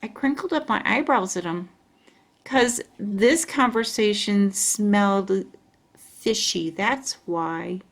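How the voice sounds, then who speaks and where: A woman reading a children's storybook aloud in an expressive storytelling style. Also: mid-range voice, slow.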